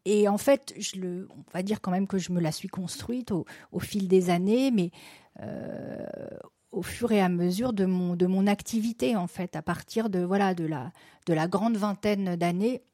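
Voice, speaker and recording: A middle-aged woman answering interview questions about her work as a festival programmer, halting and full of restarts. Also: mid-range voice, dark tone, no echo. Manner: halting, many restarts and fillers. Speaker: festival general delegate.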